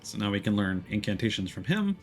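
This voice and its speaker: A man's voice: lowish voice, very animated, brisk, a little mellow, soft background music. A man giving casual live gameplay commentary as a streamer, explaining things and thinking aloud. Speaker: game streamer.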